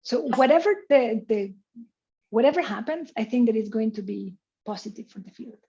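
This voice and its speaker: A woman's voice: on the high side, expressive, moderate pace, dark tone. A woman from Chile, an invited speaker answering questions after her online lecture, talking freely with lots of "you know" and "like". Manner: talkative, heavy on "you know" and "like", laughs often. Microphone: video call. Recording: muffled video-call audio.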